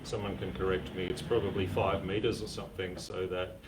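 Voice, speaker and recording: A man co-hosting a casual live chat in an easygoing, halting way, with many "um"s and the odd dry joke. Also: deep voice, flat delivery. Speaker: co-host. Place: community repair centre. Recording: distant mic with faint background noise.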